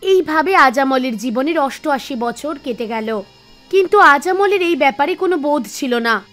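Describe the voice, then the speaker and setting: High-pitched woman storyteller over music. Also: expressive, moderate pace, a bit bright. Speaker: narrator. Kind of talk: scripted storytelling narration for an animated devotional tale. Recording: music bed under the voice. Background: background music.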